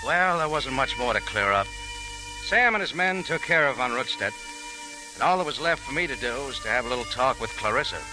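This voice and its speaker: Guy playing a tough world-weary radio hero, wry and clipped, old recording with music clearly behind. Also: mid-range voice, expressive, a little fast, lightly acted, poor audio. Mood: wry, narrating. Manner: clipped, wry hard-boiled delivery. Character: cool world-weary adventurer and cafe owner. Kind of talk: 1940s-50s radio drama acting.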